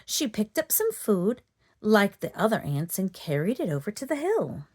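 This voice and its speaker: A woman storyteller narrating a children's book in an expressive, dramatic read-aloud manner. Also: mid-range voice, moderate pace, breathy edge. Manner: dramatic, animated delivery for children.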